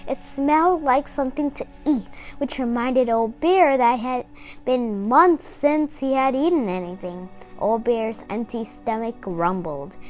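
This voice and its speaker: Female children's storyteller, high-pitched and unhurried, lively read-aloud delivery. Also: faint music behind.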